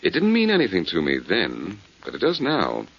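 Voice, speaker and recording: A cool, quick-witted radio detective, with a low, husky voice that moves in lively swings. His delivery is clipped, wry and sure of itself. It comes through on a dull 1940s broadcast recording. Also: full character voice. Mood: sly bluffing. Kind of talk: 1940s radio drama acting.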